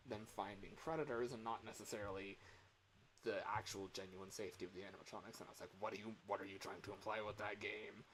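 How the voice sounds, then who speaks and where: A man with a worn-out voice chatting casually on a livestream, halting and rambling as he comments on games. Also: on the low side, slight background noise, rough recording. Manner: rambling, halting, breaks into chuckles.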